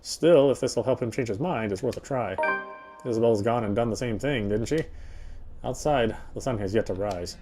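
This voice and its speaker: A man's voice: medium pitch, moderate pace, over music. A man narrating a visual novel aloud, sometimes stumbling over a line and repeating words. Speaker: game streamer reading a visual novel aloud. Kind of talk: narration read aloud with side comments.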